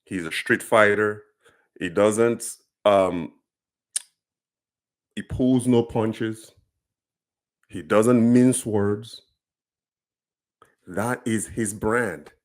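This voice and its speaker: Nigerian man, forties, low-pitched, earnest off-the-cuff explainer. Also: extremely slow, long pauses. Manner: earnest, unscripted, with frequent ums and restarts. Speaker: commentator. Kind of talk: casual explanatory talk to camera.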